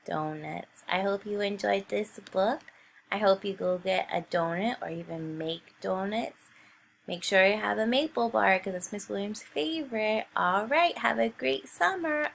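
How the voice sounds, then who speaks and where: A woman teacher reading a picture book aloud to young children in an expressive storytelling style. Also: medium pitch, unhurried, vocal fry, slight background noise.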